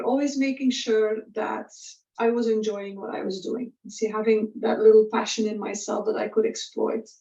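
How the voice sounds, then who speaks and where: Woman, middle-aged, casual halting delivery, somewhat far from mic, muffled audio. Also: fairly high pitch, a little mellow. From Belgium. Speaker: guest, corporate professional. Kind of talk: casual personal career story. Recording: roomy, distant, dull lo-fi audio.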